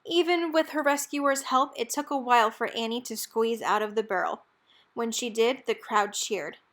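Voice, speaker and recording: Female storybook narrator, higher-pitched voice, expressive and measured read-aloud delivery. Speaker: storybook reader. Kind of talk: read-aloud narration of a children's picture book.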